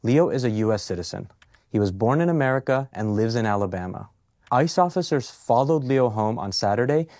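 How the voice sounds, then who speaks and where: A man narrating from a script as a legal advocate, speaking in a measured, earnest way. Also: mid-range voice, lively intonation, darkish tone, no room sound, studio quality.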